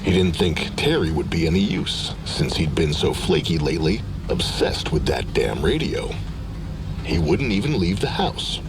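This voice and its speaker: Low-pitched man narrating horror. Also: sing-song, moderate pace, background noise. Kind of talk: scripted first-person horror narration.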